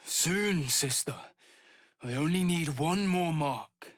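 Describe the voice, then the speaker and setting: A subdued, predatory vampire spawn from a video game. He speaks slowly and with restraint, in a very dry, close recording. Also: on the high side, expressive, no room sound. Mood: cool, sly. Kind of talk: naturalistic video game acting.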